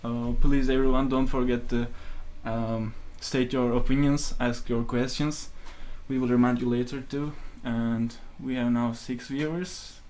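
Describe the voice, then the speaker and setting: Young podcast co-host with a medium pitch. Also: fairly even intonation, measured pace, hardly any pauses, darkish tone. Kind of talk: casual live-stream hosting.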